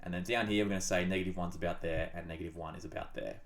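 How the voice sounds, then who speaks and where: A man's voice: deep voice, steady tone, very fast. A man with a blocked-up nose, talking through a maths tutorial in a chatty, upbeat way with playful asides. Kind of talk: casual whiteboard maths tutorial.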